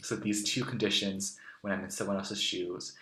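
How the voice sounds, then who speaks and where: A young man from Taiwan, a guest musing thoughtfully through casual answers with soft fillers. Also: low voice, fairly even intonation, moderate pace. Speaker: interview guest. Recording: roomy echo.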